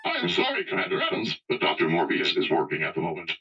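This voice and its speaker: A man's voice: sits low, wildly swooping pitch, fast, gravelly, exaggerated voice. A man playing a polite, obedient robot servant in a radio play, with a processed mechanical voice. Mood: formal, obedient. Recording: muffled, distant, under loud music.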